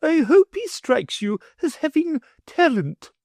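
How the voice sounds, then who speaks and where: A storybook reader voicing a haughty, gushing grand lady in a high, lofty voice. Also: sing-song, measured pace, mellow, no room sound, clean studio sound, exaggerated voice.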